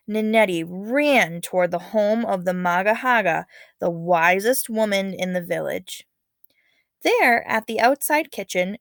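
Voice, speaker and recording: A woman's voice: medium pitch, no echo, clean studio sound. A woman speaking as a teacher, reading a story aloud expressively and talking to students in an instructive, casual way. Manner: instructive, checks in with okay and all right.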